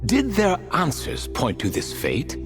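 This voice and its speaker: A haughty, long-winded ancient ibis king from a video game. His highish voice leaps and dives theatrically, and he savors every grand phrase at a slow, deliberate pace. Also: huge pitch swings, music in the background. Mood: grand, storytelling. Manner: pompous, storytelling, archaic grand phrasing.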